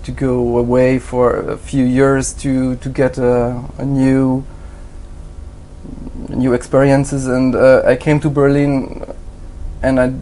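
A man works through his answers in halting English, full of ums and restarts. His voice sits at a middling pitch. A faint low rumble runs under the recording. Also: completely flat delivery, dark tone, poor audio. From Switzerland. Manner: hesitant, many fillers and restarts, non-native phrasing. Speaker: graphic designer, interview guest. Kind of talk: halting conversational interview answers.

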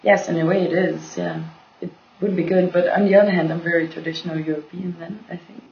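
A woman's voice: on the low side, fairly even intonation, fast talker. A woman from Belgium in a casual group discussion, thinking aloud with frequent restarts and "you know". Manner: searching, restarts often, lots of "you know". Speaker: discussion participant. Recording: dull lo-fi room recording.

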